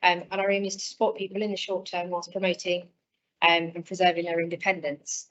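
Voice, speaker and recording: A woman presenting her support practitioner role, brisk and informative, with frequent ums. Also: lowish voice, flat delivery, fairly bright tone. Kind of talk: presentation at an online event. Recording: dull lo-fi sound.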